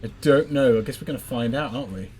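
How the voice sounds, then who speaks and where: A man of average vocal pitch comments on the game he is playing. His talk is casual and playful, full of wry banter. Quiet game music runs behind the voice. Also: fast talker. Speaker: let's play player and co-host. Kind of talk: casual joking gameplay commentary. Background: faint game music.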